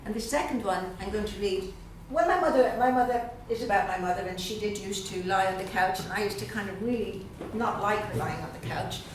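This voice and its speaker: A middle-aged Irish woman from Dublin reading her poems slowly and with pauses at a literary evening, chatting hesitantly between them. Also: mid-range voice, slight background noise. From Dublin, Ireland. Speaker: guest poet. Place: event room at a literary gathering. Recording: very echoey room, voice far off.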